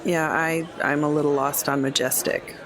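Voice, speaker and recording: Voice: mid-range voice, fairly even intonation, normal speed, crowd noise, rough recording. A player in a tabletop role-playing game, speaking candidly and plainly in casual chat.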